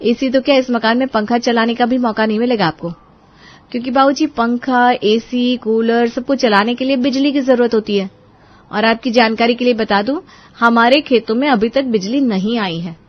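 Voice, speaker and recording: A woman voicing a sharp-tongued, scheming young daughter-in-law in a cartoon moral story, sarcastic and emphatic. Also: a little high, fairly even intonation, normal speed, muffled recording, lightly acted. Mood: sarcastic, complaining. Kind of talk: animated Hindi moral story dialogue.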